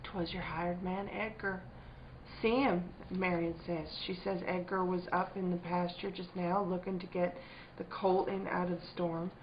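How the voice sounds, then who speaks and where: An actress playing a firm but troubled country wife in a spooky radio drama, speaking in plain rural dialect. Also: female voice, lowish voice, little pitch movement, moderate pace, a little hiss or hum, close to an everyday voice. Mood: hushed storytelling. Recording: dull, muffled lo-fi recording.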